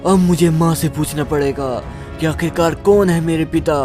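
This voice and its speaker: A man's voice: a little high, steady tone, normal speed, darkish tone, soft background music, lightly acted. A man playing an eighteen-year-old son, a naive but determined hero, speaking emphatically in a melodramatic story. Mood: troubled. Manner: dramatic, emphatic.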